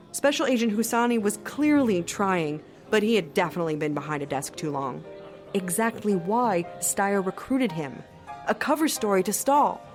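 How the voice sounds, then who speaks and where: A woman's voice: medium pitch, natural voice. An actress playing a shrewd, sardonic woman who narrates an audio drama in a wry, confiding manner.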